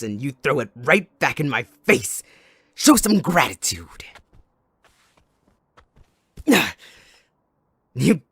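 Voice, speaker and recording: A man playing a mocking, possessive, sadistic villain in an ASMR audio roleplay, with a taunting, drawn-out theatrical delivery. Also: medium pitch, a bit bright, dry recording, studio quality, full character voice. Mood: excited, goading.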